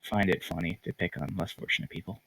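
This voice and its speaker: A young man co-hosting a casual podcast with deadpan, edgy humor. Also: deep voice, quick pace, crowd noise, rough recording. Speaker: podcast co-host. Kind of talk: casual chat.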